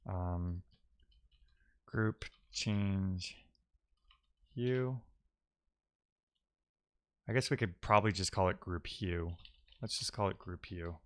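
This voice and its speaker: A man with a fairly low voice talks through his programming at a very slow pace. He sounds like a casual live streamer thinking out loud, muttering to himself and letting phrases trail away.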